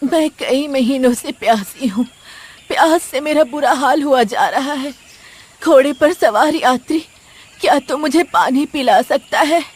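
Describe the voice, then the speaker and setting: A woman voicing a frail, thirsty old village woman who pleads plaintively in an animated story. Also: high-pitched, measured pace. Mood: pained pleading. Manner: pleading, groaning. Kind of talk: animated story character acting.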